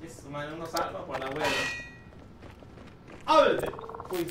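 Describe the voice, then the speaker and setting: A young man livestreaming a video game, chatting casually with his viewers and breaking into sudden loud outbursts. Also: a little high, very animated, a little slow, gravelly. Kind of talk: casual gameplay livestream chat. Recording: noisy echoey room, from far off.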